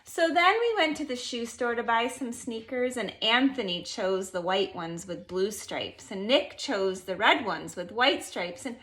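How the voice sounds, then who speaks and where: Bright, slow-paced woman reading a storybook aloud. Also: on the high side, animated. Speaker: storybook reader. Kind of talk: expressive children's picture book read-aloud. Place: living room at home, indoors.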